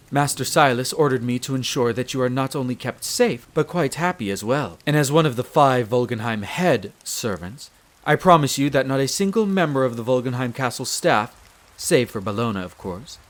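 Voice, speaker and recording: A man's voice: medium pitch, expressive, moderate pace, natural voice. A man playing a courteous, devoted head servant of a vampire castle in a roleplay audio drama, speaking with formal, polite diction. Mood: earnest, reassuring. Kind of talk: scripted roleplay audio drama, addressing the listener.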